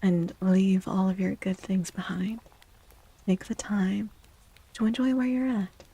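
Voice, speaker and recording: Woman, soft-spoken ASMR streamer, mellow tone, breathy, gentle, crackly vocal fry, drifting toward whispers. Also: medium pitch, flat delivery. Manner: gentle, slow, repeats phrases, often slipping into whispers. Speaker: streamer and tarot reader. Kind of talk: soft-spoken ASMR livestream with casual chat.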